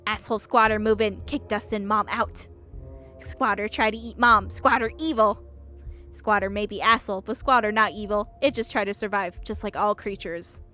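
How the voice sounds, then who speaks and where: A woman's voice: medium pitch, fairly even intonation, normal speed, bright, forceful tone, soft background music, natural voice. Woman voicing a simple, blunt little creature. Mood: blunt, grave. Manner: broken caveman-like grammar, blunt short phrases. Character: simple, devoted, childlike creature and its fierce mom.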